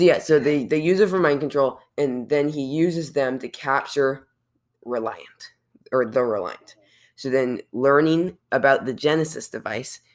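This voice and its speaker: A teenage male podcast co-host recapping a film in a casual, loose and jokey way. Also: medium pitch. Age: teens.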